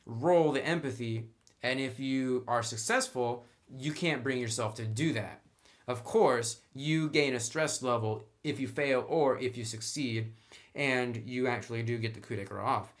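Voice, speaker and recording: A man giving a casual, conversational and opinionated review commentary, leaning on certain words for emphasis. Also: mid-range voice. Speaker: tabletop game reviewer.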